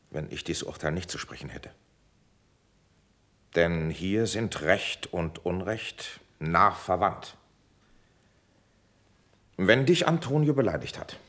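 An actor playing a measured, lenient prince who passes judgment with formal authority, in a classical verse radio drama. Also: male voice, low voice, fairly bright tone, heavily acted. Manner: formal, authoritative, deliberate phrasing.

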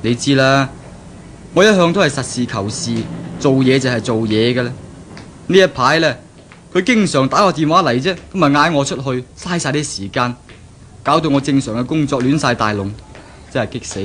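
An actor playing a pragmatic young graduate and fiancé in a 1970s Cantonese radio drama, explaining things earnestly and persuasively. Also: male voice, medium pitch, moderate pace, a bit bright, a little hiss or hum, close to an everyday voice. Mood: exasperated. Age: young adult.

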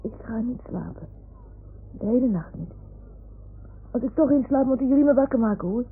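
A woman playing a wistful, stubborn little boy in a radio play, speaking with childlike earnestness and a creaky edge. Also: on the high side, animated, normal speed. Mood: anxious, stubborn. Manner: childlike, earnest, naive storytelling. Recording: tinny, faintly noisy 1960s-70s radio recording.

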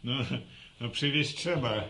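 A man's voice: mid-range voice, measured pace, sharp tone. An actor playing an easygoing, joshing country man in colloquial radio drama dialogue. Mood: bantering.

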